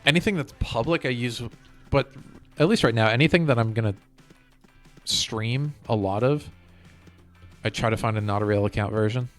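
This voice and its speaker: A man's voice: medium pitch, moderate pace, long pauses, soft background music. A man chatting casually on a gaming live stream, rambling as he hops from one thought to the next. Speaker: game streamer.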